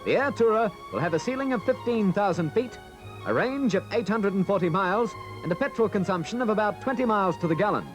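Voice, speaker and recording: A man's voice: high voice, animated, quick pace. A man reading scripted, factual newsreel commentary. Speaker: newsreel narrator. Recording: archive newsreel soundtrack with music. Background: music.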